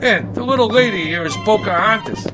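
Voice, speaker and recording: A brash streetcar conductor who fancies himself a writer in a radio comedy. He talks in a high, animated voice with slangy, boastful swagger, and music plays behind him. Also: fairly quick. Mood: cocky. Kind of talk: comedic radio drama acting.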